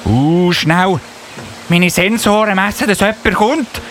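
Man voicing a high, fussy elf policeman. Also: takes it slowly, fairly bright tone. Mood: earnest, alarmed. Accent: Swiss. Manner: indignant, officious, with repeated verbal tic. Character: fussy, self-important elf policeman of the Christmas corps. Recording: noticeable buzzy background noise.

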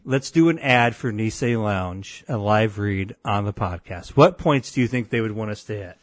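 A deep-voiced man co-hosting a podcast, telling a rambling story full of restarts and quoted voices. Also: animated, moderate pace, no room sound. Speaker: podcast co-host. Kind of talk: casual podcast banter.

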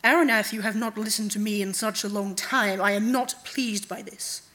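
A woman voicing a cold, manipulative female night god in a deliberate, commanding manner, as in a fantasy audiobook. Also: medium pitch, a bit bright, natural voice. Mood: stately, coaxing.

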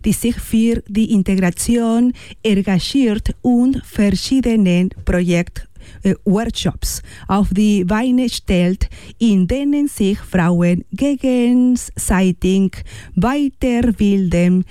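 Slow, mellow woman radio host. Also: medium pitch, no room sound. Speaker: radio host and workshop leader. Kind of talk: partly scripted radio presentation with informal questions.

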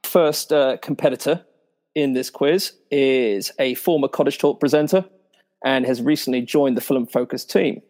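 A man's voice: medium pitch, normal speed, a little mellow. A man hosting a podcast quiz show, joking and reading introductions with some fillers.

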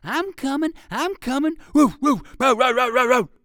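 A man voicing savage hunting dogs in a storybook, barking and shouting in a high, loud voice. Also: very animated, moderate pace, smooth, no echo, clean studio sound. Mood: excited. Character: savage barking male dogs, greedy hunters.

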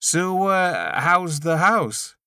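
A man's voice: sits high, animated, drawn-out words, sharp tone, clear, resonant tone, dry recording, studio quality, voice pushed up, full character voice. A man voicing an awkward, bumbling male neighbour in an audiobook, hesitant and stammering as he makes small talk.

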